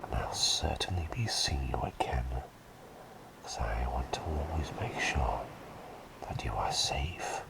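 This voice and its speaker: Man playing a very deep whispering, eccentric plague doctor. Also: vocal fry, heavily acted, poor audio. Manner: rambling, soothing, softly repeating words. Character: eccentric, scatterbrained, gentle male plague doctor. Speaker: ASMR performer. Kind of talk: soft-spoken whispered ASMR roleplay.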